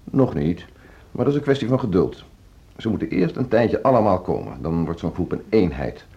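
An actor playing an ambitious, stubborn orchestra conductor in a naturalistic radio drama, brusque, self-assured and dismissive. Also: male voice, deep voice, big pitch swings, normal speed, dark tone. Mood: dismissive, impatient. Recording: dull, muffled radio play recording.

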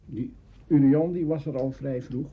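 Halting older Dutch man, muffled recording. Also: medium pitch, husky. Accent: Netherlands Dutch. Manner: halting, deliberate, searching for words. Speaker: interviewee recalling early radio drama. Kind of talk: spontaneous reminiscing interview. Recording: dull, slightly noisy interview recording.

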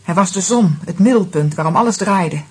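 An actress playing a bitter, independent divorced wife in a radio play, speaking in a measured, pointed way. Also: female voice, lowish voice, a little mellow, husky. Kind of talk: naturalistic radio drama dialogue.

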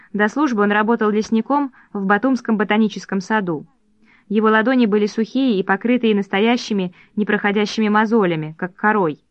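A woman reading an audiobook story aloud in calm, measured narration. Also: mid-range voice, dry recording, dull audio. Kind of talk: scripted narration.

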